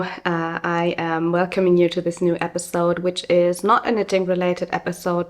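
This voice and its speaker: Young woman podcast host from Germany, slightly hoarse with a cold, relaxed and chatty, somewhat low-pitched. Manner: chatty, many fillers. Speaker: podcast host and yarn dyer. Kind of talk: casual podcast chat. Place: small studio.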